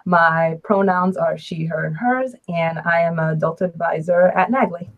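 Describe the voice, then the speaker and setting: A woman in her early thirties hosts a casual live chat. Her voice sits a little low, and her manner is relaxed and unhurried, with frequent ums between thoughts. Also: narrow, tinny audio. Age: 30s. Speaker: host and adult advisor.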